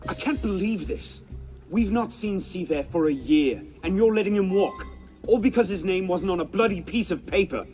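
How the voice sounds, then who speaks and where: A man's voice: high-pitched, normal speed, a little mellow, soft background music, close to an everyday voice. A man playing an earnest, impatient young assassin bent on revenge in a video game. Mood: indignant. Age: young adult. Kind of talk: dramatic video game dialogue.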